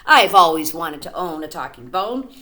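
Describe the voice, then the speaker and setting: A woman voicing a sly, grinning male fox villain in a children's story, who talks in a loud, gloating, pompous way. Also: lowish voice, expressive, normal speed.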